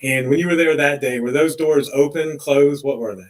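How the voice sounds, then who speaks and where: A man working as an attorney, questioning a witness deliberately with leading questions in a courtroom. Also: a little high. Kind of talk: courtroom cross-examination.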